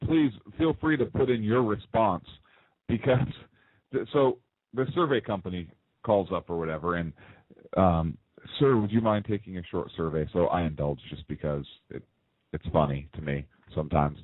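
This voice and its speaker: A man's voice: deep voice, normal speed, no echo. An American man co-hosting a casual political talk show, telling stories with animation and teasing his co-host. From United States. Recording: dry, phone-like narrow sound.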